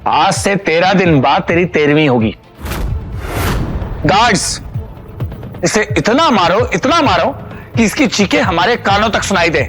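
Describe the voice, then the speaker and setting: Sneering rich bully, high animated voice, audio drama w music behind. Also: male voice, a little slow, long pauses. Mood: furious. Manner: taunting, theatrical. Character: arrogant, sneering, bullying rich young rival. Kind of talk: Hindi audio drama acting.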